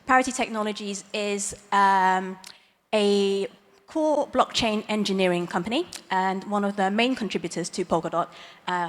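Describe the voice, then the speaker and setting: A middle-aged woman lawyer speaks from a conference stage in a chatty, self-deprecating way, dropping small laughs and fillers. Her voice sits at an ordinary middle pitch. The sound carries the long reverb of a large hall. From Dutch, Hong Kong, Chinese background; lives in the UK. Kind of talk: conference talk.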